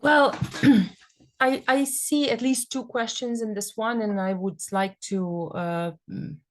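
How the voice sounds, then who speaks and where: A woman's voice: fairly high pitch, steady tone, takes it slowly. A woman whose first language is Serbian, speaking at an academic panel in a thoughtful, hesitant way with many fillers. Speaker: guest speaker.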